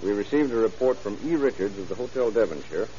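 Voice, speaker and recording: An actor playing a dogged, earnest police lieutenant with clipped cop slang in a vintage radio crime drama. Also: male voice, fairly low pitch, lively intonation, fast talker, dark tone, lightly acted. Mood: earnest, determined. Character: dogged, hunch-driven male police lieutenant. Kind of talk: 1930s-40s crime radio drama acting. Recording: muffled old radio recording, faint hiss.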